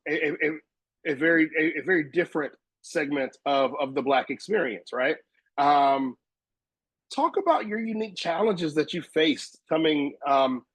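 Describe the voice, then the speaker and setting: A man's voice: on the high side, dry recording, poor audio. A man moderating a panel discussion on a video call, conversational and often stumbling over restarts.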